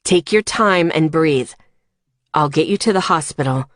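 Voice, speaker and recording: A woman voicing a calm, sure, smooth-talking man who comes to the rescue in an audiobook. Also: low-pitched, unhurried, no echo, clean studio sound, pitched below the performer's own voice. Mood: calm, urgent. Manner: smooth, reassuring. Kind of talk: audiobook character dialogue.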